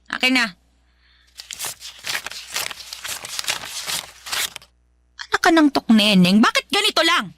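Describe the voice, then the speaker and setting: High, sharp, nagging Filipino mother. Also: big pitch swings, fast, no echo, clean studio sound. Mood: impatient scolding. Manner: scolding, belittling, exclamatory. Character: harsh, nagging, money-demanding Filipino mother. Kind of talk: Filipino radio drama acting.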